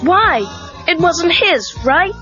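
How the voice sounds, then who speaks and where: A woman's voice: extremely high pitch, animated, slow pace, heavily acted. A woman voicing a modest, friendly, casual teenage boy in a children's audio drama. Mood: playful, friendly. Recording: dull lo-fi cassette tape. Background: faint music.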